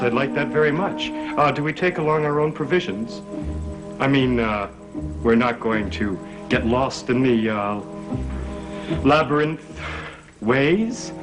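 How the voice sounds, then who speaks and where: An actor playing a sardonic, teasing young gentleman in a gothic soap opera, with arch delivery. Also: mid-range voice, lively intonation, a little slow, long pauses, music in the background, natural voice, poor audio. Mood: wry, hesitant. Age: young adult.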